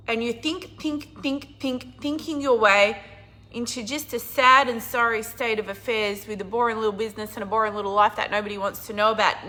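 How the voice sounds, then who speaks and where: A middle-aged woman business coach with a hoarse, husky, croaky voice, talking casually and emphatically to a live audience. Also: a little high. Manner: enthusiastic, emphatic, swings from loud to quiet. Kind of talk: casual livestream talk.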